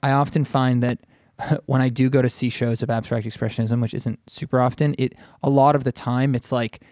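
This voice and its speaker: A man's voice: medium pitch, steady tone, a little fast, darkish tone, no echo, clean studio sound. A man hosting a podcast in a casual, opinionated way, discussing art informally. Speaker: podcast host.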